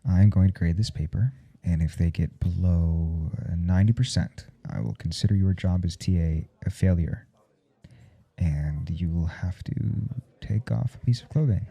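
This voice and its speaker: A man playing a blunt, intimate professor in an ASMR audio roleplay, speaking in a halting, confiding way with a teasing, domineering edge. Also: exaggerated voice. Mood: taunting, playful. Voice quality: low, gravelly, soft and dark. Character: adult male professor, intimate, callous, earnest, teasingly domineering.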